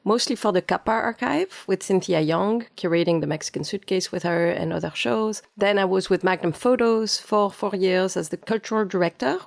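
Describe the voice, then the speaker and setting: A woman's voice: fairly low pitch, creaky. A woman in her forties with a French accent, giving a conversational account of herself as an interview guest. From France and Japan. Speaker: podcast guest, photography curator.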